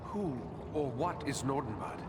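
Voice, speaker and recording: An actor playing a grave, commanding ranger in a fantasy game, speaking with measured, earnest authority. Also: male voice, mid-range voice, big pitch swings, heavy background noise. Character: grave, commanding male ranger leading a band.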